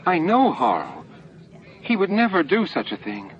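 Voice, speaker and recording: An earnest, resolute alien of the hive, played by a male reader, speaks formally in a science fiction audiobook. His voice is raised in pitch, on a dull recording with faint noise. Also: huge pitch swings, unhurried. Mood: troubled, defensive. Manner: formal, emphatic speech. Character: grateful, earnest alien hive master, shaken but resolute. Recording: dull, noisy lo-fi book-on-tape recording.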